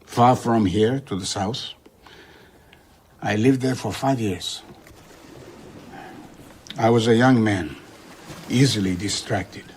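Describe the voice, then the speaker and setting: An actor playing an idealistic older traveller and philosopher in an audio drama, speaking in a measured, reflective way. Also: male voice, on the low side, a little hiss or hum. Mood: wistful. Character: worldly old male traveller-philosopher, idealistic and earnest.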